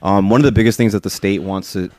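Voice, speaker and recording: A young man with a low, deep voice rattles along quickly in relaxed, slangy chat. He tends to drop quieter as he explains. The sound is close and dry, with no room around it. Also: no echo. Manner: laid-back slangy talk, drifts quieter as he explains. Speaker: podcast guest. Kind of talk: casual chat. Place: home kitchen.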